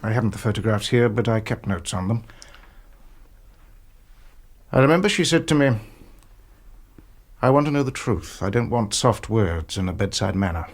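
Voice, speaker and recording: A deliberate, elderly British doctor in a radio play. His voice sits fairly low, and he speaks in a measured, clinical way, reluctant yet frank. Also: expressive. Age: older. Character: slow, deliberate retired male doctor, reluctant but frank. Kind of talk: British radio drama acting.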